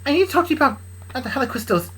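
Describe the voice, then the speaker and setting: A woman's voice: high voice, very animated, a bit bright, voice pushed up, rough recording. A woman voicing an urgent young woman who hurries to deliver worrying news. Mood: worried. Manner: hurried, worried.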